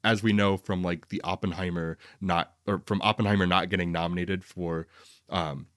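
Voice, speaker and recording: A man with a low voice in a casual review conversation, recorded close and dry. He talks as a podcast co-host, informal and analytical, working through his points as he goes. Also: steady tone, moderate pace, a bit bright, dry recording. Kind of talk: casual film review chat.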